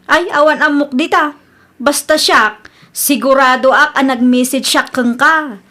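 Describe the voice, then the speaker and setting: High-pitched, defensive young niece. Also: female voice, measured pace, pushed and bright. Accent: Filipino, Ilocano. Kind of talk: Ilocano radio comedy-drama acting.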